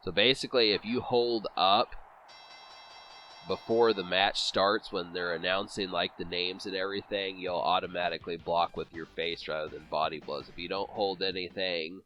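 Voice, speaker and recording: A man's voice: sits low, steady tone, moderate pace, lo-fi sound, a little hiss or hum. A man with a cold, sniffling and congested, rambling through casual commentary on a boxing video game with many fillers and restarts. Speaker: let's play commentator.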